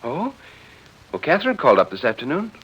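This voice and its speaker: A man voicing a poised, genteel suburban wife who coaxes softly and hesitantly, in 1940s radio drama style. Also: fairly high pitch, huge pitch swings, a little fast, breathy edge, voice pushed up, full character voice. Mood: light, evasive. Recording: dull vintage 1940s radio recording.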